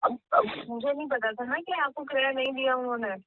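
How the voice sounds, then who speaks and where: A woman's voice: high-pitched, brisk, dry recording, lightly acted. A woman playing a worried, apologetic tenant, speaking in a hesitant, placating way in a staged phone call drama. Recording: narrow tinny phone line.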